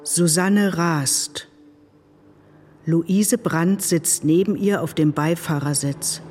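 A woman's voice: lowish voice, slow pace, darkish tone, breathy edge. A woman narrating an audio play, reading scene directions in clipped, descriptive phrases.

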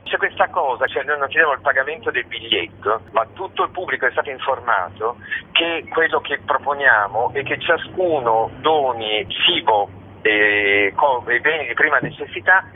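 A man's voice: medium pitch, lively intonation, normal speed. A man being interviewed as a guest on radio, talking in a discursive way with many filler phrases. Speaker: guest, theatre festival organiser. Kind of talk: spoken radio interview, explanatory. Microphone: telephone. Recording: noisy narrow-band phone line.

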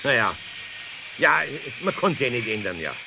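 Older Bavarian craftsman, creaky forceful voice, swinging pitch, flustered, grumbling, noisy old radio-play recording. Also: normal speed, heavily acted. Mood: annoyed. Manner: grumbling, excitable. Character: gruff, flustered, good-hearted old male craftsman. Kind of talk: 1970s children's radio play acting.

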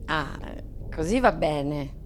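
A woman's voice: low-pitched, animated, slow pace, slight background noise. An actress playing a naive, chatty young woman in radio theatre dialogue, with a light, hesitant, girlish manner. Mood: light, coy.

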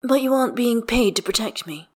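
A woman's voice: on the high side, expressive, brisk, pitched above the performer's own voice. A woman voicing a guarded, sardonic man in a story, with biting, mistrustful delivery. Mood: peeved.

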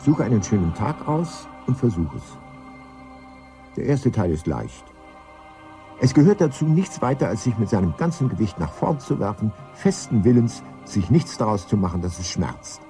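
Very dark, deep male narrator. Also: sing-song, normal speed. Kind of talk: scripted radio play narration in German. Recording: faint music behind.

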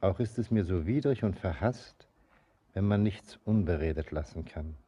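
A man's voice: low voice, animated, normal speed, warm tone, husky. An actor playing a brooding, sentimental ageing father and mine master in a German radio play, declamatory and lyrical. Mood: brooding, tender.

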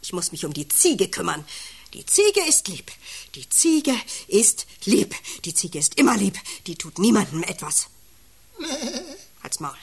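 An actress playing a volatile, possessive wife who coos and commands in a satirical radio drama. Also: female voice, medium pitch, big pitch swings, measured pace, creaky, slightly rough, full character voice. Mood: desperate. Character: volatile, possessive wife, by turns cooing, bossy and desperate.

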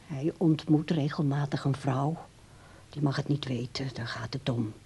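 Radio drama: insistent grown daughter, mellow, husky voice, nagging martyr who coaxes haltingly. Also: female voice, very deep voice, full character voice. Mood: confiding, hushed. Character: nagging, self-sacrificing grown daughter managing her old father. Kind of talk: naturalistic radio drama acting.

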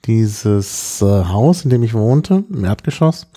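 A man in middle age with a low, dark and relaxed voice. He talks in a casual, anecdotal way, hesitating and often clearing his throat. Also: slow pace. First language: German. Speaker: podcast guest telling about his trip. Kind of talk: casual chat, travel anecdotes.

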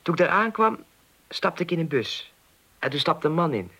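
A man's voice: on the high side, very animated, normal speed, pushed and bright, breathy edge, full character voice. A man playing an awkward, earnest young idealist in a Dutch radio play, speaking hesitantly with stammers. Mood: troubled. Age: 20s. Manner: hesitant, stammering, agitated when recounting.

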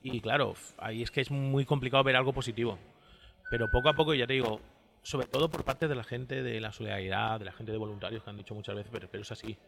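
A man talks as a guest in an interview. His delivery is rapid, informal and conversational, with a mid-range voice. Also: mid-range voice, steady tone, vocal fry, fairly bright tone. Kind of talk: casual conversation.

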